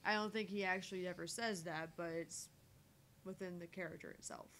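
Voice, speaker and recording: A woman with a slightly low voice reads a visual novel on stream. She narrates in the first person and drops into casual asides, over faint noise and rumble. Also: fairly even intonation, fairly quick.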